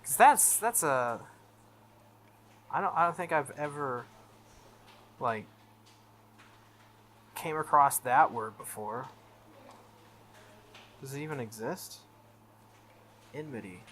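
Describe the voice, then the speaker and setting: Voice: mid-range voice, animated, slow, pauses often, fairly bright tone, a little hiss or hum. A game playthrough host casually commenting on a visual novel while reading it aloud, stumbling over and puzzling at unfamiliar words.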